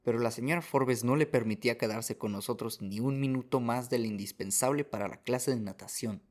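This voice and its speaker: A man's voice: medium pitch, brisk. A man reading scripted first-person story narration as the narrator of a radio drama.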